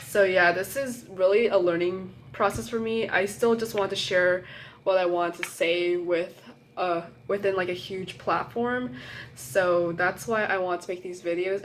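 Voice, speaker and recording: Young woman, low voice, chatting to a phone. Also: slight background noise. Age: young adult. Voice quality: slightly nasal. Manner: hesitant, apologetic, many fillers and restarts. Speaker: college student vlogger. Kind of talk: casual rambling chat to camera. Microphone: phone camera.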